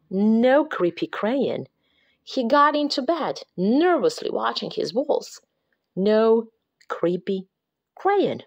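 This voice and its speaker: A woman reading a picture book aloud to children, dramatic and expressive, dropping into hushed whispers at the creepy parts. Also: a little high. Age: adult. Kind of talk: expressive read-aloud storytelling.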